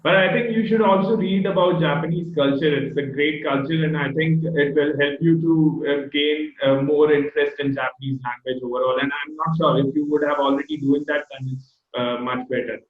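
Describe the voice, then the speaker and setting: A man hosting an online interview with a child guest, talking in a halting way and often stopping to search for words. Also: fairly high pitch, steady tone. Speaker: host. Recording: echoey, tinny phone-like video call audio.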